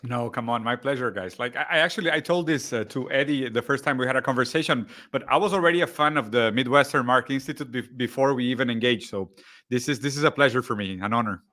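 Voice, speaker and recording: A man from Brazil, a guest telling his story in a relaxed, chatty interview with many restarts. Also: medium pitch, fast talker. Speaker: guest, content creator. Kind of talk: casual interview chat.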